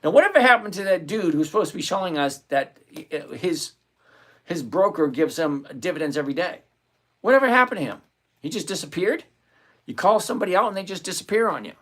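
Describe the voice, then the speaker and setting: A man hosting a live stream, talking through his options trades out loud and answering viewers by name in a chatty way from a home desk full of screens. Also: sits high, very animated, a little fast. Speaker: livestream host and options trader. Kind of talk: live-stream trading commentary, reading chat aloud.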